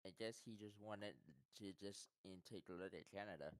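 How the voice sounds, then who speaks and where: A man's voice: on the low side, fairly quick, clean tone, dry recording. A young man co-hosting a stream, laid-back and terse, answering with short "okay"s. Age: young adult. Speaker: co-host. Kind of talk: casual chat.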